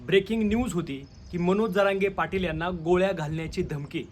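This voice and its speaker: High-pitched defiant man with a Maharashtrian accent. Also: steady tone, a little fast, a little hiss or hum. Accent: Marathi, Maharashtra. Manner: defiant, confrontational, rhetorical. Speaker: video commentator, channel host. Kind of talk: opinion commentary addressed to viewers.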